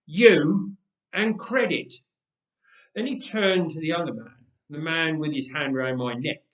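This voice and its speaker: A man reading a first-person story aloud in an expressive narrating style. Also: a little high, moderate pace, far from the mic. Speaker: story reader. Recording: roomy, echoing space.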